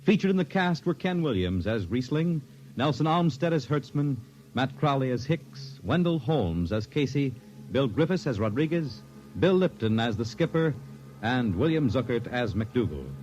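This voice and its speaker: A man's voice: mid-range voice, big pitch swings, moderate pace, a little mellow. A man reading credits as a radio announcer in a formal 1950s broadcast style. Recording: muffled 1950s radio recording, music behind.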